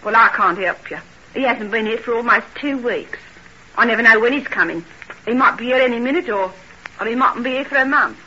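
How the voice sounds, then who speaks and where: Brusque, bitter young housekeeper, high and piercing, for vintage radio drama. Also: female voice, fairly quick, sharp tone. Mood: dismissive, wary. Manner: brusque, slangy, defensive. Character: guarded, bitter working-class young woman, sharp-tongued housekeeper. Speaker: actress. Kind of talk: old radio drama acting. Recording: muffled noisy old radio recording.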